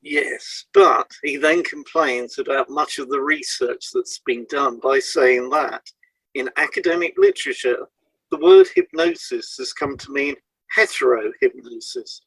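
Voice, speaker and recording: A man presenting a scripted educational podcast in a measured, explanatory way, setting out items one by one. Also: medium pitch, very animated, fairly bright tone, breathy edge, dry recording, rough recording.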